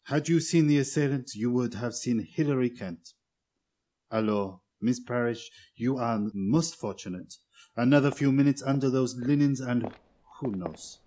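An actor playing a shrewd middle-aged Belgian detective with a French-speaking Belgian accent, formal and precise, in a 1940s radio drama. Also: male voice, mid-range voice, unhurried, warm tone, natural voice. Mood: assured. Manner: formal, theatrical, precise phrasing. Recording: vintage 1940s radio broadcast, music behind.

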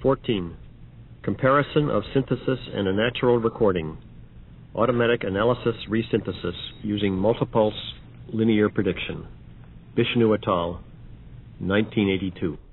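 A man's voice: fairly low pitch, moderate pace. A man narrating an audio demonstration, reading scripted announcements. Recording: dull, noisy old record transfer.

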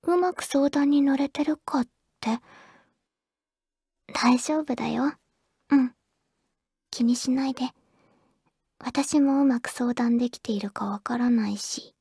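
Breathy, bashful anime schoolgirl. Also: high voice, unhurried, long pauses, no room sound, studio quality, heavily acted. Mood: tender, coy. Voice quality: soft, breathy, whispery. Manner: hesitant, stammering, bashful. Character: shy awkward teenage girl phoning her childhood-friend crush. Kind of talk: anime audio drama, intimate ASMR phone-call acting.

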